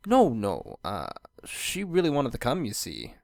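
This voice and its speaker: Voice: mid-range voice, huge pitch swings, a little slow, creaky, darkish tone, dry recording, clean studio sound, full character voice. Someone doing a flustered young boy dragon. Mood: nervous reassurance. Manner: flustered, hesitant. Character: shy, eager young male dragon assistant.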